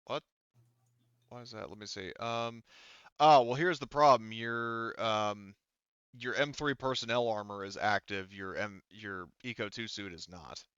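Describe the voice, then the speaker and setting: A man with a fairly low, clean voice acts as game master at a tabletop session. He improvises descriptions conversationally, stopping and rewording as he goes. The sound is close and dry, a little dull. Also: a bit bright, smooth, no room sound. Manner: halting, conversational, often rephrasing mid-thought. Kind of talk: improvised tabletop game narration and casual rules talk.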